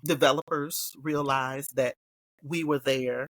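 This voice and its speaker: A woman from Hilton Head Island with a Gullah Geechee accent, a guest educator talking in a relaxed interview and telling stories from home. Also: extremely low pitch, slow pace, no room sound. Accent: Gullah Geechee, South Carolina Lowcountry. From Hilton Head Island, South Carolina. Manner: relaxed storytelling, quoting others in lively voices. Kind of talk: casual interview conversation.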